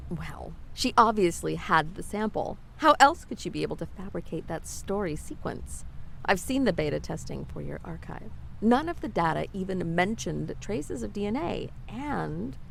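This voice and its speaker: A woman's voice: lowish voice, sing-song, normal speed, gravelly, a bit bright, a little hiss or hum, heavily acted, rough recording. A woman playing a wry, skeptical scientist in an audio drama, talking in a dry, sardonic, conversational way. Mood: wry, musing. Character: wry, skeptical female scientist, the curator's old friend. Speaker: voice actor.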